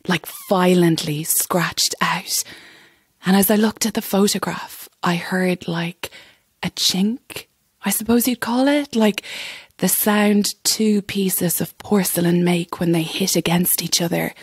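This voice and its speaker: A woman's voice: medium pitch, darkish tone, slightly rough, dry recording, studio quality. An actress playing a nervy young chorus girl telling a ghost story in an audio drama, chatty and suspenseful. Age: young adult.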